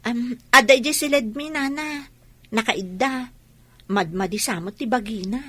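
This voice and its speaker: A woman's voice: fairly high pitch, measured pace, fairly bright tone, slightly rough. A woman playing a polite, bashful young village woman in a radio drama. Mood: welcoming. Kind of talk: radio comedy drama dialogue.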